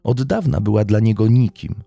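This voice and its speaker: A man narrating a scripted true-crime documentary in a measured, serious way. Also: lowish voice, lively intonation, gravelly, dark tone, dry recording, faint music behind.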